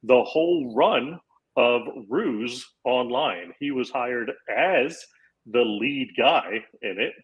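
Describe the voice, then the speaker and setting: A man in his forties, a comedian guest on a podcast, telling a long anecdote in a casual, animated way and acting out the lines of the people in it. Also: mid-range voice, takes it slowly, husky, muffled recording. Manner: animated anecdote-teller, acts out quoted lines. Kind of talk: casual storytelling.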